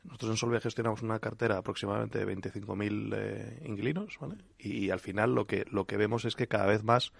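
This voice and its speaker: Gravelly, husky Spanish man on a panel. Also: fairly low pitch, fairly even intonation, fast, hardly any pauses, dry recording. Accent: Spain. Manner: ends phrases with checking tags. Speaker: property company executive on a panel. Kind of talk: spontaneous panel talk.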